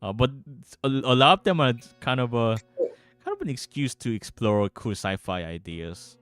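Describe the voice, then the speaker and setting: A man's voice: mid-range voice, animated, normal speed, fairly bright tone, clear, resonant tone, dry recording. A man co-hosting a livestream in loose, casual chat. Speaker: livestream co-host. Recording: dry voice with music behind it.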